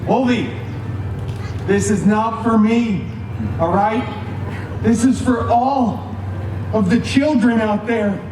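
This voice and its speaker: A man's voice: sits high, slow, long pauses, recorded from a distance, noisy background. A man playing a campy, self-absorbed wisecracking antihero, telling stories theatrically with sarcastic asides in a live comic stage show. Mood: chatty, sarcastic. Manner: theatrical, mock storybook delivery with sarcastic asides. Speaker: costumed theme park stage performer. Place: outdoor theme park stage, front-row recording. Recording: echoey outdoor stage, loud music, crowd.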